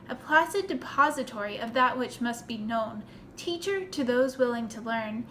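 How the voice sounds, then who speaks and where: A woman's voice: on the high side, fairly bright tone. A woman narrating a scripted tribute essay, reading it aloud. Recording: noticeable background noise.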